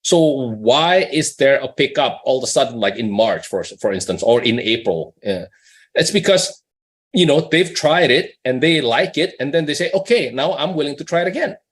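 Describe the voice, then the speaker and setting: A man's voice: medium pitch, animated, moderate pace. A man with a mid-range voice talks as a company executive on an earnings call. He explains things conversationally, posing questions and often checking that the listener follows.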